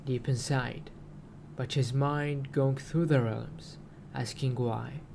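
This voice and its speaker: A man recites poetic storytelling with solemn deliberation, breaking his phrases with long pauses. His delivery is very slow and his voice has a gravelly fry. There is some background noise in the recording. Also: medium pitch, steady tone. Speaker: writer reading own story.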